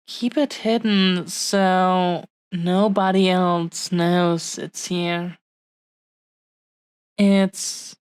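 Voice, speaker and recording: A woman playing an affectionate, possessive dragon-blooded fiancée in an intimate roleplay audio drama, speaking earnestly and haltingly with long pauses to the listener. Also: on the low side, clean tone, no room sound.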